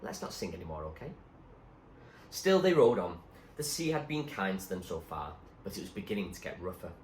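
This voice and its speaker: A man reading a story aloud as a teacher would, in expressive storybook narration. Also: low voice, fairly quick. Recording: roomy echo, faint background noise.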